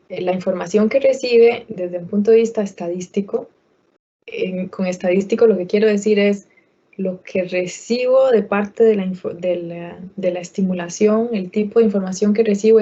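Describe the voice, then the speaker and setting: A woman answering questions as an expert in an online talk, pausing often with fillers and restarting her phrases. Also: medium pitch, dark tone, lo-fi sound. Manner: hesitant, many fillers and restarts. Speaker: guest expert speaker.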